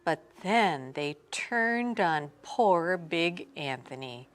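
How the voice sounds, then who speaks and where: A woman reading a children's storybook aloud in an expressive, theatrical way, sometimes dropping to a hush. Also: on the low side. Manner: expressive, theatrical, drawn-out phrasing with hushed drops. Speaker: storybook reader. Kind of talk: dramatic children's read-aloud narration.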